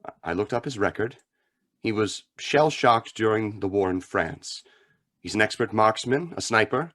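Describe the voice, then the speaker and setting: A man playing a grave, philosophical crime fighter with a measured, earnest manner in a radio drama. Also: on the low side, a bit bright, exaggerated voice. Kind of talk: old-time radio drama acting.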